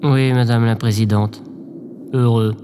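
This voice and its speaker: A man's voice: medium pitch, fairly even intonation, unhurried, mellow, natural voice. A man playing a meek, dejected, obedient aide in an audio drama, formal, hesitant and deferential. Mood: glum, hesitant. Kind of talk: scripted audio drama acting. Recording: long hall reverb, clear background noise. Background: ambient noise.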